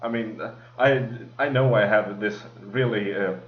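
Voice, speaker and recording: A man with a heavy Swedish accent talks loosely on a podcast, often laughing and restarting his sentences. His voice sits low. The recording sounds roomy and dull, as if made on a cheap mic. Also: fairly even intonation, unhurried. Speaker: podcast co-host. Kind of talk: casual chat. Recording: echoey lo-fi mic.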